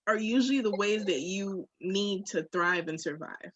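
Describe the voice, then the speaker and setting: A woman's voice: medium pitch, normal speed, a bit bright, slightly rough, no room sound, lo-fi sound. A woman talking candidly on a podcast in an emphatic, slangy way, with swearing.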